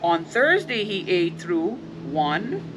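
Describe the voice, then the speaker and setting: A woman's voice: fairly low pitch, very animated, slow pace. An older woman hosting children's storytime, reading aloud interactively, prompting with questions and counting out with emphasis. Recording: noisy action-camera sound.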